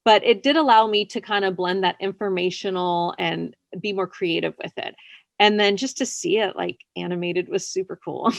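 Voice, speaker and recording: A woman's voice: mid-range voice, fairly even intonation, moderate pace, gravelly, no room sound. A woman writer speaking casually as an interview guest, chatty, often laughing as she talks. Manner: chatty, laughs while talking.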